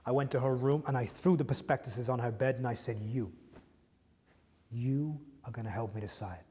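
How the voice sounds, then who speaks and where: Actor as a raw, profane widower. Medium-pitched, halting, in a big reverberant space. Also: male voice, mellow, close to an everyday voice. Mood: tender. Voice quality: profane, halting with repeated restarts. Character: grieving widower, raw, blunt and tender. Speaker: widower speaking to a grieving listener. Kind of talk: emotional dramatic monologue.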